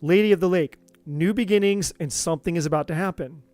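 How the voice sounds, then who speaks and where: A man's voice: high voice, fast talker, darkish tone, dry recording, soft background music. Earnest man giving a tarot reading. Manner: earnest, repetitive, encouraging. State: voice going hoarse. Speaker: tarot reader.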